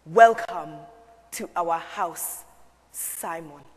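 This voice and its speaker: A woman's voice: fairly low pitch, extremely slow, pitched below the performer's own voice. A woman playing a hearty, hospitable, teasing father in a solo dramatic stage piece. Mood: hearty, welcoming. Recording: echoey hall.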